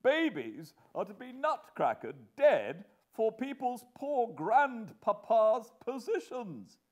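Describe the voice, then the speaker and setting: A man's voice: very high-pitched, very animated, slow pace, muffled recording, voice pushed up, heavily acted. A man voicing an exasperated, helpless husband and father in an audiobook, protesting in desperate exclamations. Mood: helpless outrage. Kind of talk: audiobook character voice.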